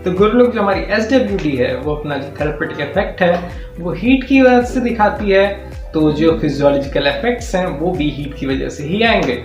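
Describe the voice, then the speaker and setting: A man's voice: fairly high pitch, lively intonation, moderate pace, muffled recording. A man with an Indian accent gives an informal, step-by-step explanatory lecture, mixing English technical terms into his speech. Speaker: physiotherapy teacher and channel host. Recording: echoey room sound. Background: clear background music.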